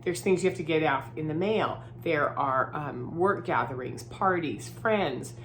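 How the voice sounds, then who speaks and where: A woman's voice: low voice, a little slow, fairly bright tone, slight background noise. Groggy woman with a head cold. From Southern California. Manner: chatty, filler-heavy, often adds "right?". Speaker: vlogger. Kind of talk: casual spoken vlog. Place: her new home, indoors.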